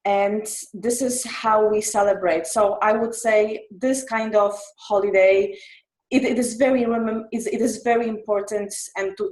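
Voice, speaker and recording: Polish accent lady doing an off the cuff speech, kinda halting, echoey room sound. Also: mid-range voice, a little mellow. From Poland. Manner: halting, hesitant. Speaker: club member giving an impromptu speech. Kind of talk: impromptu public speaking.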